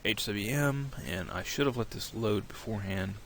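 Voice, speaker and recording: A man with a cold and a slightly nasal voice, walking through a software tutorial in a relaxed, rambling way, thinking aloud at his computer. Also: fairly low pitch, normal speed, vocal fry, a little hiss or hum. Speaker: tutorial creator.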